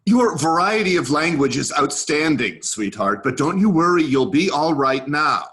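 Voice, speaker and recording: A man's voice: a little high, big pitch swings, moderate pace, fairly bright tone. A man in a staged play reading, playing a macho G.I. Joe soldier doll, cocky and protective, with tough-guy endearments. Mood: urgent, soothing.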